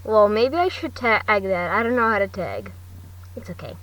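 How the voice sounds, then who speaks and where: A woman's voice: medium pitch, lively intonation, moderate pace, a bit bright. A woman narrating fan fiction as an amateur reader, often stumbling over words and restarting. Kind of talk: amateur audiobook narration with chatty asides. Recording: distant home mic, faint noise.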